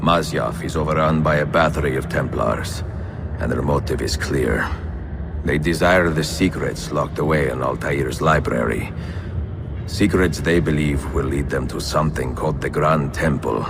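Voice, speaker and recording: An actor playing a weathered, grave and determined Italian master assassin in a cinematic video game, with an Italian accent. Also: male voice, a bass voice, normal speed, vocal fry, noisy background. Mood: solemn, reflective.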